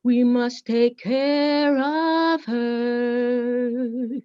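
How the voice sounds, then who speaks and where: A woman's voice: on the high side, fairly even intonation, drawn-out words, clean tone, lo-fi sound. A woman giving a simple, repeated chant in a sung style.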